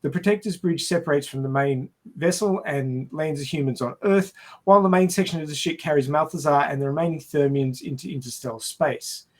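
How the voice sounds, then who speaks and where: A man's voice: fairly high pitch, brisk. A man hosting a podcast, recapping and casually discussing a film. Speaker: podcast host.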